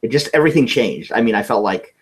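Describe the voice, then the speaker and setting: A man's voice: mid-range voice, animated, fast talker, slightly rough. A man from California, an interview guest telling a personal story in earnest, often restarting his words.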